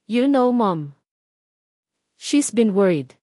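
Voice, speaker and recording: A woman voicing a smug, mocking older brother in an audio drama, drawling her lines slowly and teasingly, recorded dry. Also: medium pitch, big pitch swings, dark tone, no room sound.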